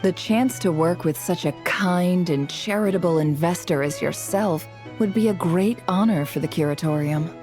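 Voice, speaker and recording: A woman playing a shrewd, polite intelligence operative in a video game, measured and knowing. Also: lowish voice, music in the background, natural voice. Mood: courteous. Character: composed, shrewd woman spymaster, politely calculating. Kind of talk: video game cutscene acting.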